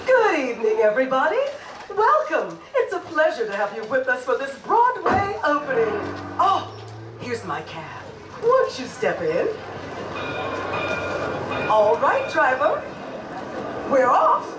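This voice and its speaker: A woman playing a genial, excitable theatergoing hostess, narrating an old-time radio show in a theatrical, gushing way. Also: high-pitched, measured pace, long pauses, background noise, heavily acted. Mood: gushing, excited. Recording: roomy live stage with music.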